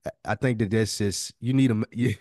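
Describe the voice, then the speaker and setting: A man's voice: lowish voice, steady tone, brisk, a little mellow. A man co-hosting a podcast in casual chat, slangy and emphatic, often repeating a phrase to drive it home. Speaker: podcast co-host.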